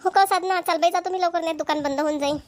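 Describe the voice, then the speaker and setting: A high-pitched cartoon village housewife, played by a woman, harried and full of complaints. She chats and grumbles repetitively in a Vidarbha Marathi accent. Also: steady tone, fast, no room sound, studio quality. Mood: hurried. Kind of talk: amateur cartoon comedy dialogue.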